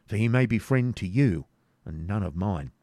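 A man voicing a dignified, wary knight who speaks measured, formal old-fashioned English in a storybook reading. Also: on the low side, expressive, mellow, dry recording, lightly acted. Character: dignified, courteous, wary male medieval knight.

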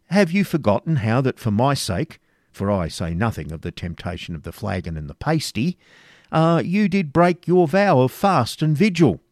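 A man's voice: medium pitch, sing-song, normal speed, a little mellow, no room sound, voice pushed up, natural voice. Male narrator as storybook knight: confident, wry, teasing, archaic diction, dry recording. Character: bold, teasing medieval knight, unshakeably confident.

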